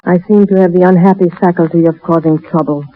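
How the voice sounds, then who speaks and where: A woman acting a proud, world-weary lady of mystery in a 1930s radio drama, speaking in a measured, formal way. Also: fairly low pitch, fairly even intonation, phone-quality sound, natural voice. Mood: weary, earnest. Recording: noisy, thin 1930s radio broadcast.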